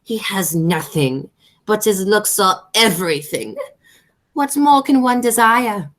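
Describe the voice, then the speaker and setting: A woman's voice: mid-range voice, lively intonation, slow, fairly bright tone. A woman playing an imperious, snobbish English aunt in a radio comedy, pompous and declamatory, stumbling now and then over the words. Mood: indignant. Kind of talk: stage comedy as amateur radio play.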